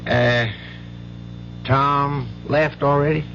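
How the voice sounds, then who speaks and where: An actor playing a hearty, affectionate uncle with effusive, joking speech in a radio drama. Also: male voice, mid-range voice, fairly even intonation, drawn-out words, fairly bright tone. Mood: wistful. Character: hearty, jovial, flattering bachelor uncle. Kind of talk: 1970s radio drama acting. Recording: dull, noisy old radio broadcast.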